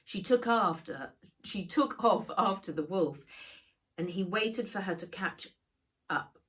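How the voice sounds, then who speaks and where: A woman reading a children's adventure book aloud in expressive narration, as an author reading her own work. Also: medium pitch, takes it slowly.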